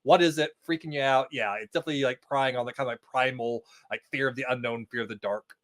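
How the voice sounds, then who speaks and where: A man's voice: mid-range voice, fast, a bit bright. A man speaking as a podcast guest in casual, conversational chat about a TV show.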